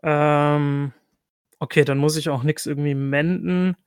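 A man's voice: a little high, fairly even intonation, a little slow, clean tone, dry recording, clean studio sound. A man playing a tabletop role-playing game, chatting casually and thinking aloud, hesitant and often revising himself.

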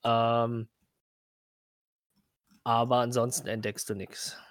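Man narrating in a flat, even tone. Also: medium pitch, takes it slowly, no room sound. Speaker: game master. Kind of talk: improvised tabletop game narration.

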